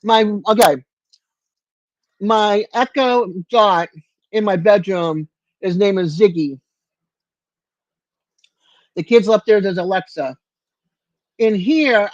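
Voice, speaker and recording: An older woman hosting a casual livestream chat from home, rambling and halting with lots of fillers and restarts. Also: mid-range voice, dry recording. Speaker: livestream host. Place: home room with a fan. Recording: dull lo-fi stream audio.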